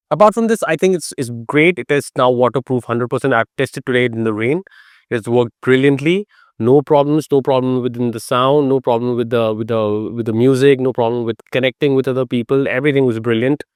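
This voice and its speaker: Clear-voiced Indian man reviewing gear. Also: medium pitch, no echo, studio quality. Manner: halting, repeats words for emphasis. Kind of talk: casual unscripted product review. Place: outdoors in a garden beside a motorcycle.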